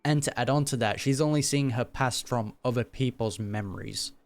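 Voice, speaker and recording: A man's voice: medium pitch, normal speed. A man giving casual gaming reaction commentary, informal and slangy, recapping in plain words. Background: game music.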